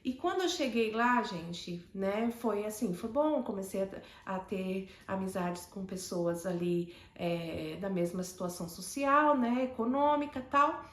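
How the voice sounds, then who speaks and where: A woman's voice: mid-range voice, a little slow, hardly any pauses, echoey room. A woman from Northeast Brazil with a Northeastern Brazilian accent, telling a personal story to camera with many fillers and restarts. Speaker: host.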